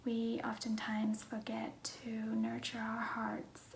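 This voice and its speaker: A young woman vlogger with a Vietnamese accent talks casually about herself in a high, breathy, soft voice. She takes her time between phrases, speaking at a slow pace. The recording sounds roomy and echoey. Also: completely flat delivery. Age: young adult. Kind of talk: casual personal Q&A to viewers. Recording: roomy echo, faint background noise.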